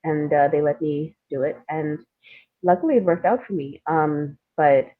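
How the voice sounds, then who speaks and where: A woman's voice: low voice, moderate pace, phone-quality sound. A woman from India speaking as a writer guest in a reflective interview, thoughtful and hesitant, with self-deprecating humor. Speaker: guest, playwright and screenwriter.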